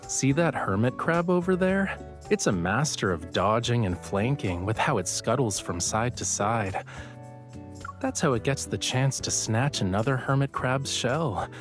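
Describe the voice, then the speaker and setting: Romantic game lead: playful young man, male actor, very animated intonation, affectionate and a little smug, music underneath. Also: medium pitch, a little slow, natural voice. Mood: calm, teasing. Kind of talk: romantic game audio drama acting.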